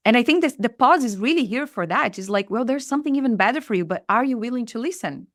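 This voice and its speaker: A woman's voice: on the high side, lively intonation, fast, dry recording, studio quality. A woman from Brazil with a Brazilian Portuguese accent, a native Portuguese speaker, talking earnestly as a guest on a podcast and repeating phrases for emphasis. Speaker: spiritual mentor guest. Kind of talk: reflective podcast conversation.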